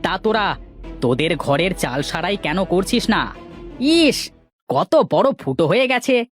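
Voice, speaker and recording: A woman acting as an envious, scheming village man in a cartoon tale. The delivery swings widely in pitch and sounds scornful and bossy, over a light music bed. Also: sing-song, a little slow. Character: sly, grudging village men, scheming and envious. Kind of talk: cartoon moral story voice acting.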